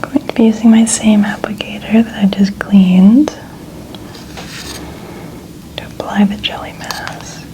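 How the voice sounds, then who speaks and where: A woman whispering softly and breathily as she gently narrates an ASMR skincare routine step by step. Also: medium pitch, steady tone, a little slow, pauses often. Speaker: ASMR creator. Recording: clearly noisy ambient background.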